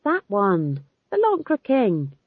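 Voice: medium pitch, huge pitch swings, slow, dry recording, narrow, tinny audio, full character voice. A narrator voicing a confident, money-minded young man who runs a troupe of actors, speaking with self-assured bossiness. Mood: confident, teasing.

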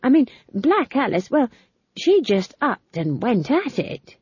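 A rambling, gossipy old witch woman with a lively sing-song voice that swoops up high, as a woman reads her. She talks slowly with a husky edge. The recording is dry and slightly muffled. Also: no room sound, heavily acted. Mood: awed. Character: bawdy cheerful old village witch woman. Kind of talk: audiobook character voice.

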